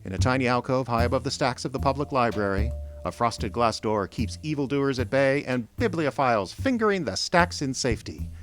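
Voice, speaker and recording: A man hosting a radio theater show, announcing from a script with theatrical, deliberate phrasing. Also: mid-range voice, animated, soft background music. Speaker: announcer and host.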